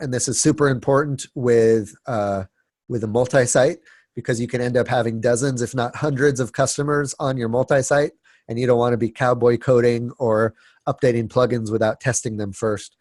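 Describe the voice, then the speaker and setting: A man's voice: medium pitch, a little mellow, dry recording, studio quality. A man presenting a webinar, stuffy and sniffling from a cold, talking casually with many fillers and restarts. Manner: filler-heavy, frequent restarts and repeated words.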